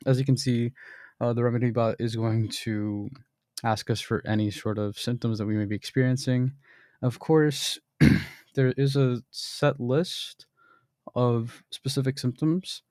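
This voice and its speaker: A young man casually walking through a software demo, explaining as he goes, with frequent ums and throat clearing. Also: mid-range voice, flat delivery, measured pace, no echo, clean studio sound. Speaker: software developer demoing his bot. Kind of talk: screen-recorded software demo.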